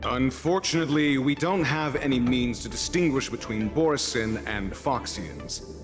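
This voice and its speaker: A man's voice: mid-range voice, moderate pace, over music, lightly acted. A man voicing a polite, dutiful soldier in a video game, speaking formally and respectfully. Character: polite, dutiful male soldier of a guard order.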